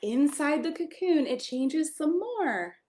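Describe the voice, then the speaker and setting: A woman's voice: sits high, lively intonation, slow pace, a little mellow. A woman reading a picture book aloud to young children, expressively, with friendly asides, questions and exclamations. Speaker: pastor reading a children's story.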